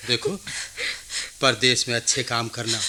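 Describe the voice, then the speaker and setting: A man's voice: medium pitch, flat delivery, a little slow, pushed and bright. An actor playing a big-hearted, firm, fatherly village man in a theatrical radio play. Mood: urging, stern.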